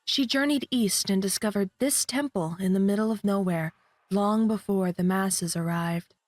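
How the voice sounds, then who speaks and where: A devout, serene sister from a video game, played by a woman, speaking in a mid-pitched voice. Her delivery is calm and measured, recorded very dry with no room around it. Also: dry recording, natural voice. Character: serene, devout religious sister giving counsel. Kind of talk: video game character dialogue.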